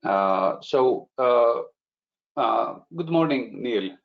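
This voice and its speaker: A man's voice: mid-range voice, animated, drawn-out words, vocal fry, lo-fi sound. A man hosting a business webinar, speaking hesitantly with many "uh" fillers. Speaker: webinar host. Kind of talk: webinar presentation.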